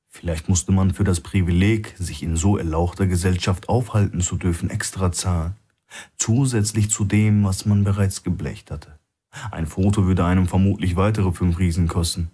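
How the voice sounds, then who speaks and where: A man's voice: low-pitched, warm tone, breathy edge. A man narrating a thriller audiobook from a script.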